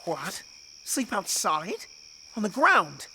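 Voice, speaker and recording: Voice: mid-range voice, sing-song, takes it slowly, bright, forceful tone, slight background noise, exaggerated voice. A performer playing a spoiled, indignant boy prince in an audio drama, haughty and full of protest. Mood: incredulous, complaining.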